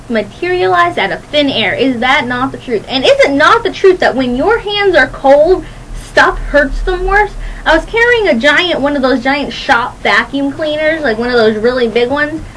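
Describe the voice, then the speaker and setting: A young woman hosting a radio show, rambling casually to listeners in a chatty, playful, animated and giggly way. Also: sits high, normal speed, slight background noise, rough recording. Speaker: radio host.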